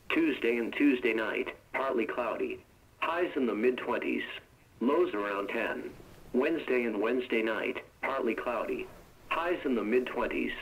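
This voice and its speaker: A man's synthetic voice reading a weather forecast for a weather radio broadcast in a steady, even, monotone delivery. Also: mid-range voice, slight background noise, rough recording.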